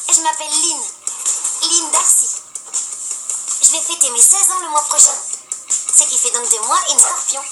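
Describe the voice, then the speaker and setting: A high-pitched teenage schoolgirl from a cartoon, acted by a woman, sure of herself and full of plans. She talks in earnest, wordy explanations like a model pupil. The sound is roomy, with clear music underneath. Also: moderate pace, pauses often, lightly acted. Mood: upbeat, self-introducing. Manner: earnest, wordy, self-assured. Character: chatty, ambitious, studious sixteen-year-old high school girl. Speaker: main character and narrator. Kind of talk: animated series dialogue and first-person narration.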